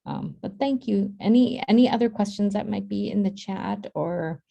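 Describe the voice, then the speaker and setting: A woman from Boston hosting an online workshop, giving informal advice in a halting way and rephrasing as she goes. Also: mid-range voice, gravelly, mellow, highs cut off. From Boston, United States. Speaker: workshop host and centre staff speaker. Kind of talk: informal workshop advice over video call. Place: virtual workshop video call.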